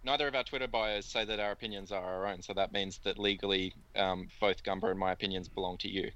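Low-voiced man trading deadpan jokes. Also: fast talker, dull audio. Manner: dry, joking, deadpan asides. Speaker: podcast co-host. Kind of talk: casual comedic chat.

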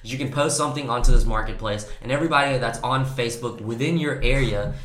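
A man hosting a podcast, hoarse and raspy from a cold, talking in an upbeat, joking way. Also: mid-range voice, quick pace, fairly bright tone, slight background noise. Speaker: podcast host. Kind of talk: casual podcast chat. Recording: echoey room.

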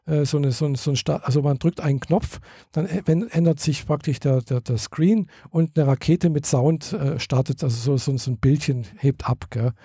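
Dark-voiced, husky man, hesitant. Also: on the high side, moderate pace, no echo, studio quality. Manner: hesitant, many fillers and restarts. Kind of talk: casual chat.

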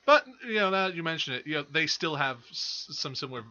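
A man's voice: a little high, expressive, fast talker, a crowd in the background. A man co-hosting a movie podcast, casually analysing films and often correcting himself as he goes. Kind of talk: casual film discussion. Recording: slightly dull, lo-fi podcast audio.

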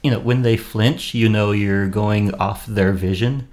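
A man and actor in casual chat, calmly explaining the actor's craft. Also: fairly low pitch, unhurried. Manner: thoughtful, explaining with metaphors. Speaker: actor and podcast guest host.

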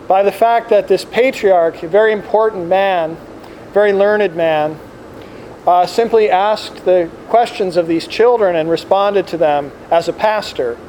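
High-voiced man over background hum. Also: a little mellow, low-quality recording. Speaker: priest giving a conference talk to oblates. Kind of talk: informal religious talk to a gathering. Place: indoor room with air conditioning. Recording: clear background air conditioner noise. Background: air conditioner noise.

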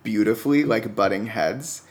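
Man, low voice, casual podcast guest, informal and emphatic delivery. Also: moderate pace. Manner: informal, emphatic, frequent "like" and "right?". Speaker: podcast guest reviewing TV pilots. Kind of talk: casual chat.

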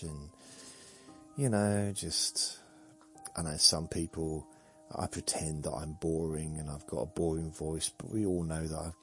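Very deep-voiced man, slow rambling deadpan. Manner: slow, meandering, deadpan humor. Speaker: podcast host. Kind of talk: rambling sleepy monologue.

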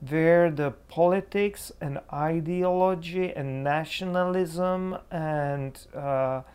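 A man's voice: fairly high pitch, fairly even intonation, slow, a little mellow, smooth. A man with a Czech accent speaking as a preacher in a reflective theological discussion, searching for words as he goes. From Czech Republic. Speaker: preacher, interview guest.

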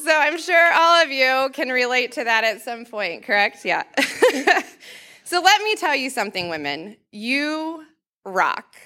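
A woman speaking to a church congregation on Mother's Day, a little nervous, laughing now and then, holding a handheld mic away from her mouth because of her loud voice. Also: sits high, very animated, a little slow. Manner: warm, nervous, laughs often, says she has a loud voice. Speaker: pastor's wife giving a Mother's Day message. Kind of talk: church talk with a read-aloud story. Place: church sanctuary in front of a congregation. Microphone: handheld mic held away from the mouth.

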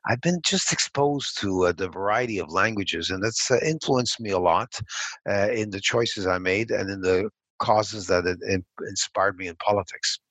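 Older guy, deep low voice, lots of ums and restarts, dry close mic. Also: fairly bright tone, no room sound, rough recording. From Canada (Montreal). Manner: halting, many fillers and restarts. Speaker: podcast guest, former political figure. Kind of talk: reflective interview storytelling.

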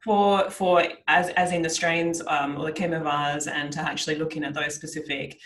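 A woman's voice: low voice, fairly even intonation, moderate pace, poor audio. A woman hosting a conversational podcast interview, asking questions with tentative, searching phrasing.

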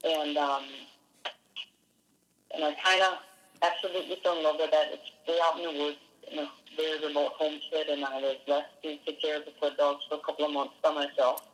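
A man's voice: fairly high pitch, little pitch movement, brisk, fairly bright tone, low-quality recording. A man from Denmark, a dog musher, answering interview questions in a hesitant way with many fillers and restarts. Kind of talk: casual interview.

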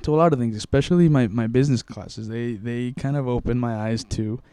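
A man's voice: mid-range voice, brisk, mellow, clear, resonant tone, no room sound, studio quality. A young man from Mexico, telling his story in a casual interview, rambling with many restarts and fillers. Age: young adult.

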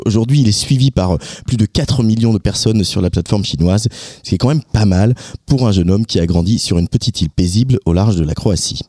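A male radio host presenting an artist in French, informative and steady, with occasional filler hesitations. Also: on the low side, no echo, clean studio sound.

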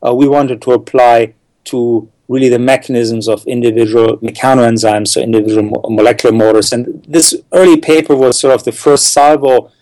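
A man's voice: mid-range voice, steady tone, moderate pace, a little mellow, no room sound, poor audio. A middle-aged man from the Czech Republic, a scientist answering interview questions thoughtfully, with many fillers and repeated words. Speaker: neuroscientist guest.

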